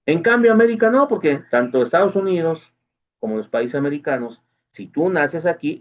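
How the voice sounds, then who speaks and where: A man giving a casual explanatory monologue as host, often checking in with the listener. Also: medium pitch. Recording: dull lo-fi recording.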